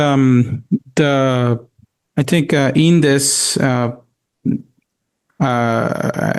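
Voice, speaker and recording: This man speaks at a slow pace in a medium-pitched voice. He is chatting casually about sports on a podcast, and his delivery is hesitant, halting often as he goes. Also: darkish tone, clean tone. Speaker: podcast guest or co-host, soccer scout.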